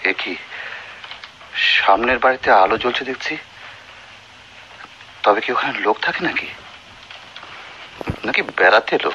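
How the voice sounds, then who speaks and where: A man's voice: mid-range voice, animated, measured pace, long pauses, bright, forceful tone. A man playing a proud, weary doctor in a Bengali radio play, speaking formally, by turns brusque and musing. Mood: musing, puzzled. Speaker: radio drama actor. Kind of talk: dramatic radio play dialogue and soliloquy. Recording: noisy, muffled old radio drama recording.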